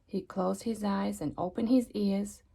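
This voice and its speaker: A woman reading a children's story aloud expressively, with dramatic emphasis and pauses. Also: mid-range voice, steady tone, gravelly, dark tone, breathy edge, rough recording. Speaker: storybook reader.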